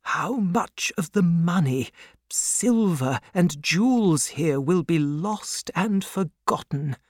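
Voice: mid-range voice, lively intonation, slow pace, darkish tone, dry recording, clean studio sound, voice pushed up. A narrator playing an anxious elderly English banker with an urgent, dramatic manner. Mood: dark worry.

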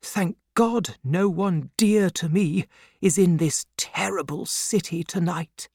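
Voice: mid-range voice, takes it slowly, a little mellow, slightly rough, dry recording, clean studio sound, pitched above the performer's own voice. A narrator playing an anxious elderly English banker with an urgent, dramatic manner. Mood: dark worry.

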